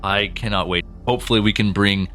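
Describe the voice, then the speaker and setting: A man's voice: on the low side, fairly even intonation, brisk, a bit bright, faint music behind. A man co-hosting a podcast and chatting casually, rambling with frequent restarts. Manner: rambling, restarts often, laughs easily. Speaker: co-host and writer.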